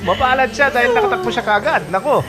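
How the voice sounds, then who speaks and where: A man playing a protective, teasing college-age best friend in a Filipino radio drama, breezy and playful. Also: very high-pitched. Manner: breezy, playful, laughs often. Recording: music bed under dialogue.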